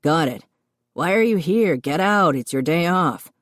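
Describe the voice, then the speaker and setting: A woman voicing an excitable, gushing male chef who calls out from his kitchen. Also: low voice, measured pace, dry recording, studio quality.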